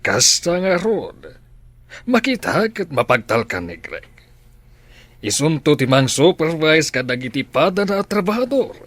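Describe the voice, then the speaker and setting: A man's voice: a little high, very animated, unhurried, a bit bright, no room sound, full character voice. A man acting a stern middle-aged father with a Filipino accent in an Ilocano radio drama. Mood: measured, questioning. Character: stern, business-minded father of a grown daughter. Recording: dry studio with music underneath.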